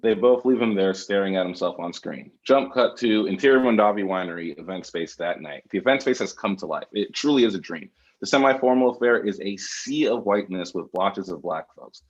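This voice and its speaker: Screenplay narrator, male, very low and husky, reading scene directions. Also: animated, a little fast, hardly any pauses, highs cut off. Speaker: reader of stage directions.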